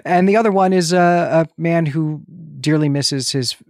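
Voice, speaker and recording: A man's voice: a little high, normal speed, a little mellow, no echo, clean studio sound. A man co-hosting a conversational film discussion in a thoughtful, articulate, analytical way. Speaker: podcast co-host.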